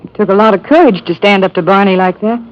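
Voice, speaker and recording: An actress playing a kind, timid young cashier in a 1950s radio drama, gentle and hesitant. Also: female voice, medium pitch, fast, lightly acted. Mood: shy sympathy. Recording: muffled 1950s radio recording.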